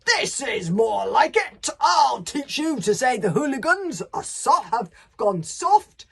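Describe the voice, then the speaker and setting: Woman playing a gloating Viking chief, bellowed slow, pitched up, breathy grain. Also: big pitch swings, full character voice.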